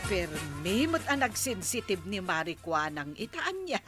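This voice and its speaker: A woman's voice: lowish voice, sing-song, a little slow, over music. An actress playing a curious, amused village woman who coaxes her friend along in an Ilocano radio comedy drama, with an Ilocano accent. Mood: coaxing.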